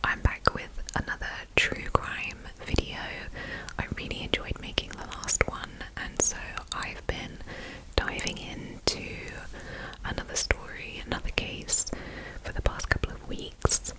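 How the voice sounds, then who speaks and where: A woman's voice: unhurried, low-quality recording. Whispering woman, slightly nasal. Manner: soft unvoiced whisper, chatty with "you know" asides. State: a little congested from a recent illness. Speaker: ASMR channel host. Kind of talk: whispered true crime ASMR narration.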